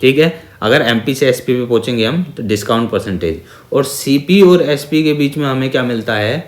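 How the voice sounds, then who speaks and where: An Indian man with a medium-pitched voice gives an exam-prep maths lesson. He works through each step didactically, repeating phrases and posing quick check-in questions. He switches between Hindi and English terms, and the sound is noticeably echoey. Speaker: maths teacher. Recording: echoey, reverberant room sound.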